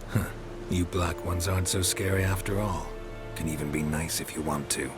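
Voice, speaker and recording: A man playing a gruff, dry-witted monster hunter for hire in a video game, terse and deadpan. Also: deep voice, moderate pace, loud music behind the voice, natural voice. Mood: dry, sardonic. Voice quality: gravelly. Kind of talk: understated video game acting.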